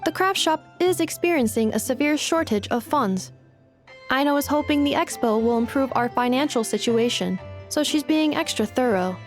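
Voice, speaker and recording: A polite female robot assistant in an anime game. The voice is high, and the delivery is formal, exact and deadpan. Faint music plays underneath. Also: normal speed. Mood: deadpan reporting.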